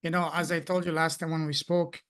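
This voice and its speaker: A man's voice: a little high, flat delivery, a little fast, fairly bright tone, clear, resonant tone. A man from Afghanistan hosting an interview podcast, asking questions and giving short, attentive acknowledgements. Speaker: podcast host.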